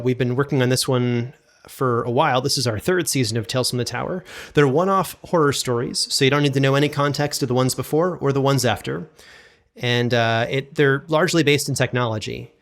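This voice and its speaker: A man's voice: medium pitch, a little fast, a bit bright. A man talking casually as an interview guest, an audio drama producer explaining his work.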